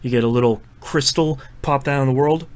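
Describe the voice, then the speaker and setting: A man hosting a casual podcast about video games, chatting and running the segments with quick asides. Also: mid-range voice. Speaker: podcast host. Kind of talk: casual chat.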